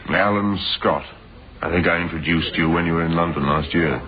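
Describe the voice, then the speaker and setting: An actor playing a brisk, driven, impatient businessman who runs a frozen-food firm, in a radio drama. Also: low voice, natural voice. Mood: suave, businesslike. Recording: noisy, muffled old radio drama recording.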